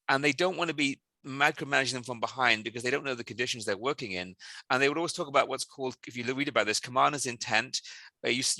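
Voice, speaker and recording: A man from South Wales talks fast as a CEO in an interview, his voice very bright and forceful. He tells animated stories and often restarts his sentences. The sound is dry and close, with no room around it. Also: medium pitch, no echo. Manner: quick, animated storytelling with frequent restarts. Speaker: company CEO, interview guest. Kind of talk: casual interview answers.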